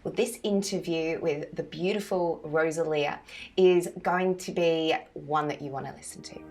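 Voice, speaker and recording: A woman hosting a podcast, introducing her guest in a soft, effusive, gently enthusiastic way. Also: low-pitched, moderate pace. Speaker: podcast host.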